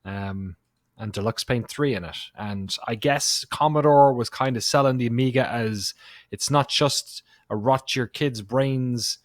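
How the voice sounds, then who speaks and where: A man's voice: mid-range voice, a little slow, no room sound, studio quality. A man in his thirties on a podcast, casually telling a story in an easygoing way, with small laughs. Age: 30s. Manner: easygoing, laughs a little as he talks. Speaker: podcast co-host.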